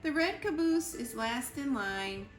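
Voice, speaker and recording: A woman reading a children's picture book aloud in an expressive storytime style, with deliberate, playful emphasis on the rhyming words. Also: high-pitched. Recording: roomy echo with music behind. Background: music.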